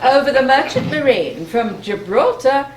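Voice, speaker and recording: An actress playing a polite, dutiful young goddess niece in a live stage play, courteous and measured. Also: female voice, high-pitched, animated. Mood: admiring. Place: live in a playhouse, far from the mic. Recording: distant, echoey stage with clear noise.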